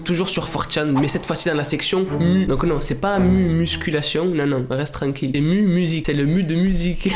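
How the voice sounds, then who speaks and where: A young man telling an internet mystery story on his channel in a joking, teasing way. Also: a little high. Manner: playful, teasing, breaks into laughter. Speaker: YouTuber. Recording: roomy sound with background music.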